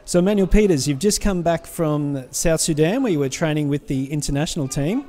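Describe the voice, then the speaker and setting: A man's voice: a little high, animated, a little fast, mellow. A man with an Australian accent putting short, direct questions to a footballer. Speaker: interviewer presenter.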